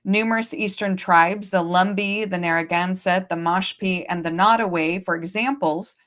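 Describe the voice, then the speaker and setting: Woman host on a stream, medium pitch, explains stuff emphatically, repeats her points. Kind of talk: livestream discussion. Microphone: streaming setup.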